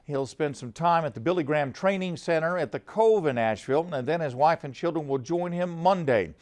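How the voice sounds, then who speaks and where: A man's voice: fairly high pitch, expressive, fairly quick, a little mellow. A man anchoring a television newscast, reading scripted stories in a formal news delivery.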